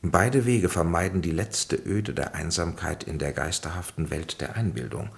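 A grave, fatherly spiritual counselor in a radio drama. His voice sits very low with a gravelly creak, and he phrases things in a measured, oracular way. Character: solemn, fatherly male spiritual guide and counselor, Henry. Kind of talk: German radio play acting.

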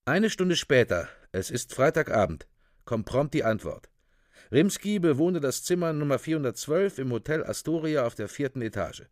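A man's voice: a little high, very animated, normal speed, no room sound, studio quality. A man giving scripted, report-like dramatic narration in a radio play.

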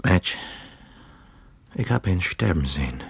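Very low, husky, tender lover. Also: male voice, big pitch swings, slow pace, no echo, full character voice. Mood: soothing, grave. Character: gentle, concerned male lover soothing a woman. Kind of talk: intimate radio play acting.

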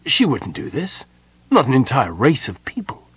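Male narrator as cautious knight officer, respectful, earnest, very varied pitch, storybook. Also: wildly swooping pitch, normal speed, husky, no room sound, exaggerated voice.

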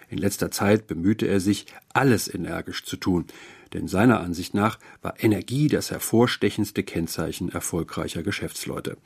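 Low husky male storyteller. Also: a little mellow. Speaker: narrator reading a short story. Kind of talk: scripted literary audiobook narration.

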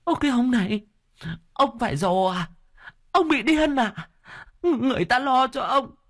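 A man voicing an old wife who weeps and shrieks at her husband in a high, wailing voice. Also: lively intonation, measured pace, a bit bright, exaggerated voice. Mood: shrieking. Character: old wife, weeping and shrill.

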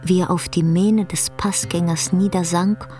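Slow-paced woman narrator over guitar music. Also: fairly low pitch, fairly even intonation, darkish tone. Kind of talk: scripted literary narration for radio drama. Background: plucked guitar music.

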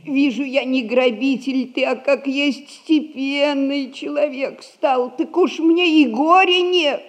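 Fretful elderly merchant's widow, unhurried singsong, high voice, vintage theatrical radio comedy. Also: female voice. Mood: placating. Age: older. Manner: singsong folksy lamenting, theatrical. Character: rich old merchant widow, pious, fretful, domineering at home. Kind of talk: 1960s stage comedy radio acting.

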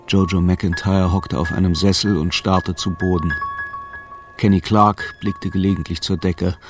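A man narrating a radio play in a scripted, dramatic storytelling style, with music under his voice. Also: sits low.

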